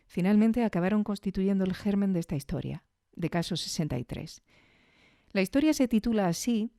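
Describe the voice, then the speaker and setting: A woman's voice: lowish voice, mellow, dry recording, clean studio sound. A woman with an accent from Spain hosting a podcast in a conversational way, with chatty asides and tag questions. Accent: Spanish from Spain. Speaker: podcast host. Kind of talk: casual scripted podcast review.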